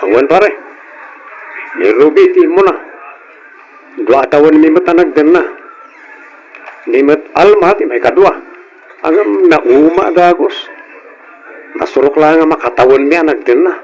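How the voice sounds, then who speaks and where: Radio drama role: brash, evasive young womanizer played by a man, high-pitched with heavy creak, casual and defensive. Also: expressive, measured pace, long pauses, darkish tone, dull audio, slight background noise. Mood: boastful, casual. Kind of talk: old-style radio drama acting.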